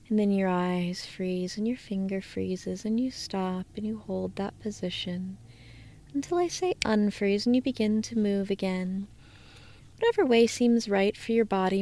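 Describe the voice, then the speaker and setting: A woman speaking as a hypnotist, guiding listeners through a slow, soothing trance induction that drifts into soft whispers at times. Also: mid-range voice, a little hiss or hum.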